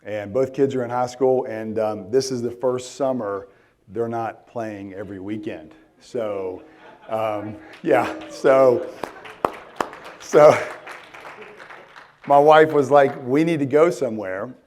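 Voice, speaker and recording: A man in his forties with a worn-out, hoarse voice, speaking casually at a conference and filling pauses with lots of ums and restarts. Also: medium pitch, steady tone, a little mellow, slight background noise. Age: 40s. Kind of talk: live conference talk. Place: conference hall.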